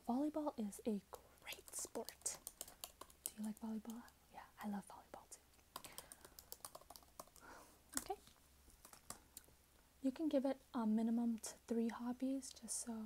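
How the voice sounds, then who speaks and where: A young woman speaks in a soft, breathy, often whispered voice that is fairly high yet mellow. She plays a shop assistant in an ASMR roleplay, talking casually and hesitantly, as if to a customer across the counter. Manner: casual, conversational, hesitant pacing as if chatting with a customer. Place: small plain room indoors.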